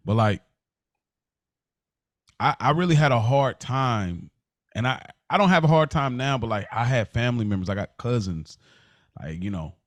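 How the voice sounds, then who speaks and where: An American man co-hosting a podcast in casual conversation, inquisitive and reflective, with halting phrasing as he works through a thought. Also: medium pitch, lively intonation, no room sound. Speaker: podcast co-host.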